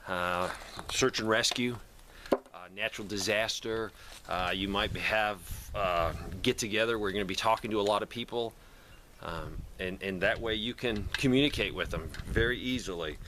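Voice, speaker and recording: A man's voice: lowish voice, normal speed, noisy background. A man talking casually to the camera as a prepper vlogger, explaining gear and plans in a plain-spoken, earnest way.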